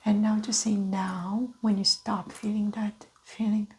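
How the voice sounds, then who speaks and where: A woman performs an ASMR medical roleplay in a soft whisper at a middling pitch. She speaks very slowly, giving gentle, methodical instructions and observations like a doctor at an exam. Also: flat delivery, low-quality recording.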